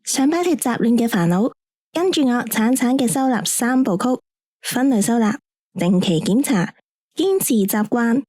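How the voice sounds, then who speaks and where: A woman with a Hong Kong Cantonese accent voicing a radio advert announcer who reads a cheerful sales pitch for a tidying brand. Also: lively intonation, moderate pace, mellow, no room sound, studio quality.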